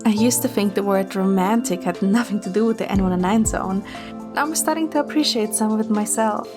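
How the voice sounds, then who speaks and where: A woman's voice: medium pitch, fairly quick. A woman reading scripted first-person story narration. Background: music.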